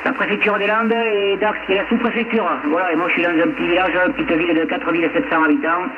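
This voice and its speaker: A man's voice: high voice, fairly even intonation, fast talker. A man from France chatting casually as a radio operator, rambling along and repeating his phrases, heard over a radio link. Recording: tinny band-limited radio link, heavy static.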